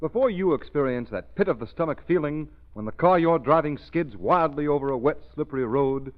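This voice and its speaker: An American man working as a 1930s radio announcer, reading an advertisement with an emphatic salesman's delivery. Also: on the high side. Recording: muffled 1930s radio recording.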